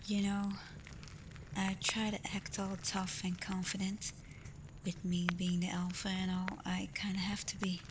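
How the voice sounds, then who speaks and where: A woman voicing a caring alpha werewolf in a soft, breathy ASMR roleplay, gentle and reassuring, confident but privately unsure. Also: medium pitch, lightly acted. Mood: tender, unsure. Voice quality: soft, breathy, often near a whisper. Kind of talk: ASMR roleplay, soft intimate talk to the listener. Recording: clear steady ambient noise.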